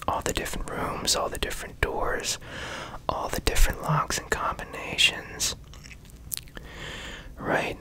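A man guiding a meditation in ASMR style, in a soft breathy whisper with gentle, soothing instruction, close to the microphone. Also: a little slow, long pauses, low-quality recording. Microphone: close studio microphone.

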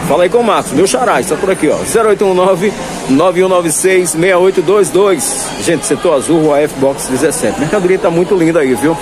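A man filming a sales vlog through a busy clothing market, pitching goods in upbeat patter and repeating prices. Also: a little fast. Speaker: clothing wholesale vlogger. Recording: noisy crowded market. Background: crowd chatter.

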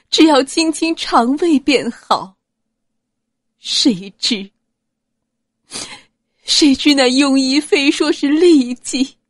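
A distraught noblewoman in a Chinese period audiobook, crying as she speaks. Her high voice drags very slowly and haltingly through her grief, in a dry, close recording. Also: dry recording, exaggerated voice. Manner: slow, drawn-out, halting delivery. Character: grieving married noblewoman, sobbing distraught mother. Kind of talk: audiobook character acting.

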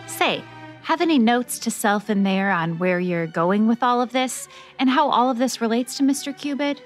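A woman playing a brisk, impatient, sardonic ace reporter in a noir radio drama pastiche. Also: a little high, lively intonation, faint music behind, natural voice. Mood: impatient sarcasm.

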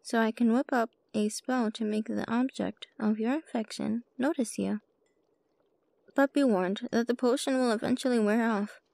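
A woman playing a sly, playful witch shopkeeper with a soft heart in an ASMR roleplay, coy and teasing as she addresses the listener. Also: fairly high pitch, fairly even intonation, normal speed, creaky, dry recording. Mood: teasing, bargaining. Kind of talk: ASMR roleplay, scripted character monologue.

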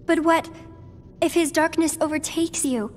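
An actress playing a gentle, shy teenage girl in a video game, speaking softly and hesitantly. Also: high-pitched, steady tone, unhurried, slight background noise. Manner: hesitant, soft-spoken. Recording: game audio with loud music underneath.

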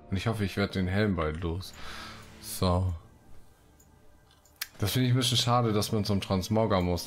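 Ein Mann kommentiert locker und scherzhaft sein Spiel, murmelt vor sich hin und liest Quests vor. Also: male voice, sits low. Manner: joking, muttering to himself. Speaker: Let's Play host. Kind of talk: casual gaming commentary with read-out quests. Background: game music.

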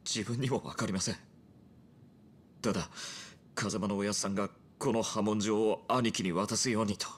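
An actor playing a loyal, earnest young yakuza underling who speaks deferentially, in a crime video game. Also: male voice, on the low side, steady tone, normal speed, slightly rough. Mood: solemn, dutiful.

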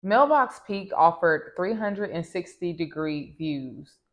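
A woman of about thirty from the American South talks straight to camera in a chatty, easygoing way. Her voice sits somewhat low, and it is close and clean from a lapel mic in a small room at home. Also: animated, slow pace, a bit bright, a little hiss or hum. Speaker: vlogger. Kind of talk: casual travel vlog.

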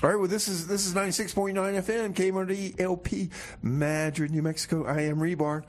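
A man hosting a community radio show with casual, deadpan comic banter, often repeating his phrases. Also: high-pitched, brisk. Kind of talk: casual community radio comedy banter, song back-announcing.

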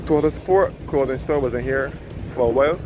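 A man's voice: medium pitch, brisk. A man giving a rambling walking-tour commentary outdoors by a harbour, often correcting himself. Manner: rambling, self-correcting guide. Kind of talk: casual walking-tour narration. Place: outdoors on a sunny harbour boardwalk. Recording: windy outdoor recording, muffled.

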